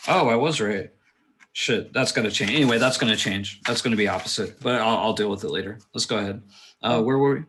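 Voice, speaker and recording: Man, slightly deep voice, director, screenplay narration, casual asides. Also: brisk, fairly bright tone, a little hiss or hum. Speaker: director reading stage directions at a table read.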